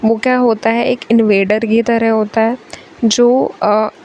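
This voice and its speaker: A young woman with a sore throat, teaching a chatty exam-prep biology lecture, switching between Hindi and English and slowing down to read English lines. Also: a little high, flat delivery, a little mellow, lo-fi sound, a little hiss or hum.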